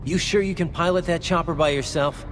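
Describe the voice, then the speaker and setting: An actor playing a wry, gruff cyborg soldier in a video game, terse and sarcastic. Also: male voice, a little high, brisk, a bit bright, faint music behind, lightly acted. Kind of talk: scripted game acting.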